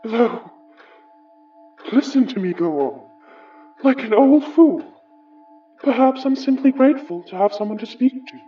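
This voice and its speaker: An actor playing the summoned remnant of an English gentleman, wry, wistful and formal, with a British accent, in an audio horror drama. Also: mid-range voice, animated, far from the mic, dull audio, faint music behind, close to an everyday voice. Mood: brooding, reminiscing. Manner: measured, formal, musing, ornate phrasing.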